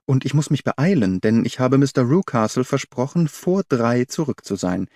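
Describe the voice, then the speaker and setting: Man reading as an earnest young governess, mellow. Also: medium pitch, expressive, normal speed, dry recording, studio quality, lightly acted. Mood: earnest, grateful. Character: earnest young woman, a governess recounting her worries. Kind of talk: scripted audiobook character reading.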